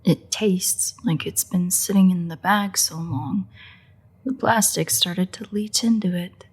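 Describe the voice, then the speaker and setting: A woman playing a sardonic, teasing female vampire in a roleplay audio drama, with a husky, breathy, gravelly voice and a sarcastic, exasperated manner. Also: on the low side, a little slow. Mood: disgusted, grumbling. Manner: sarcastic, mocking, swearing, dry exasperation. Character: sardonic female vampire, teasing, crude, grudging toward a hunter. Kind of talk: roleplay audio drama, intimate monologue to the listener.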